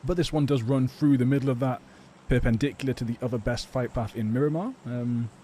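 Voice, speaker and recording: A man's voice: mid-range voice, brisk, mellow, slight background noise. A British man giving casual, rambling live game commentary as an esports caster, chatty and a little hesitant. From England. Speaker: esports caster and stream host. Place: home or personal studio.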